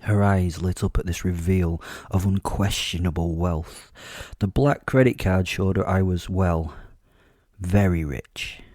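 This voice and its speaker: A very low, velvety voice, close and dry, belongs to a man playing a wealthy werewolf serial killer. He tells his horror tale with measured, smug relish and dark humour. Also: no echo. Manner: measured, smug, darkly humorous storytelling. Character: suave, vain, wealthy male werewolf serial killer, menacing narrator. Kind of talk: first-person dark horror audio drama narration.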